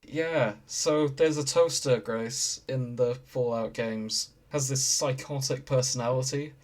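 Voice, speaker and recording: Jokey man chatting at medium pitch. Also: a little slow, darkish tone. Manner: jokey, giggly. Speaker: podcast co-host. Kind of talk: casual chat.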